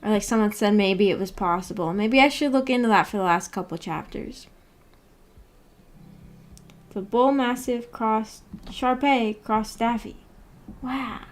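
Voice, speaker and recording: A woman hosting a book club livestream, chatting casually and replying to viewers in a giggly, rambling, unhurried way. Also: on the high side. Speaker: livestream host of a visual novel book club.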